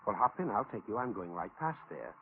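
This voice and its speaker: An actor playing a brisk, polite but sinister stranger who calls himself a doctor, in clipped 1940s radio style. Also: male voice, fairly low pitch, sing-song. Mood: probing, courteous. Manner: clipped, urgent, courteous. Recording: tinny, noisy 1940s radio recording.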